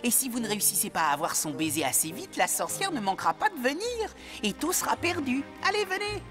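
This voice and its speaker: A cheeky young cartoon animal, confident and encouraging. The voice is brisk and upbeat, with music clearly playing behind it. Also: male voice, extremely high pitch, big pitch swings. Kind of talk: French cartoon dub.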